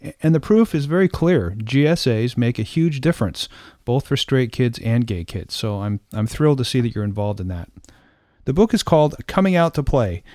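A man's voice: mid-range voice, warm tone, no room sound. Male radio host, dry close sound. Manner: smooth, scripted introductions. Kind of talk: radio interview hosting.

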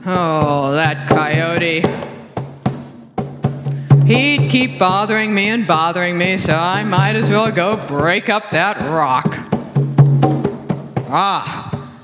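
A woman voicing a big, practical, grumbling buffalo in a measured, matter-of-fact way. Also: on the low side, in a large hall, over loud music.

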